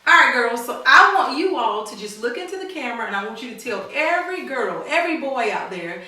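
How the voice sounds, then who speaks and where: A woman's voice: high-pitched, big pitch swings, a little fast, bright, forceful tone. A woman reading a picture book aloud to children and putting questions to them, with an encouraging, teacherly manner. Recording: very echoey room, far from mic.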